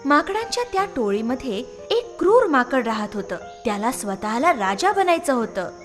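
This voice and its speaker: A woman's voice: high-pitched, big pitch swings, moderate pace, soft background music. A woman narrating a scripted moral story for children.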